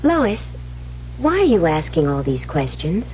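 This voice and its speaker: A woman's voice: lowish voice, huge pitch swings, unhurried, mellow. An actress playing a naive, smitten young woman in a radio drama, earnest, girlish and hesitant. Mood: earnest, pleading. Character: naive, smitten young woman named Amy, eager for love. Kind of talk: 1970s radio drama acting. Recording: muffled, noisy old radio recording.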